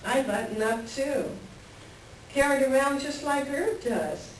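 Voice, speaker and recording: A woman's voice: high voice, takes it slowly. A woman playing a lonely, frustrated farm wife in a play reading, plain-spoken and wistful. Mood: resigned, frustrated. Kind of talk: staged play reading, conversational dialogue. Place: library reader's theater reading. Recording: echoey room from far off, faint noise.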